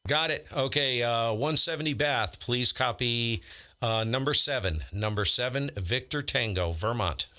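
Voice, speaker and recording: A man's voice: mid-range voice, unhurried, pushed and bright. A man speaking bluntly and informally, chatting casually and calling as a ham radio livestream host.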